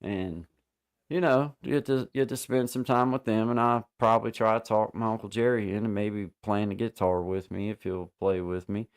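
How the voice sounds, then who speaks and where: Male stream host, low register, easygoing and folksy, loose everyday chat. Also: fairly even intonation, normal speed, smooth. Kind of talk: casual chat.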